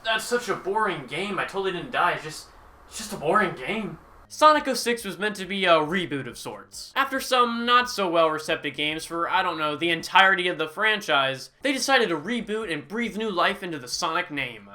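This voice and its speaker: A man's voice: high voice, lively intonation, moderate pace, bright, forceful tone. A young man in his twenties doing a sarcastic, comedic scripted game review, breaking into sudden exaggerated outbursts. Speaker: gaming YouTuber.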